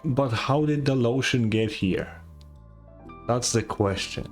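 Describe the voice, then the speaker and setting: A woman's voice: rumbling low, takes it slowly, a little mellow. A tired woman giving casual, musing reaction commentary as a game streamer. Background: game music.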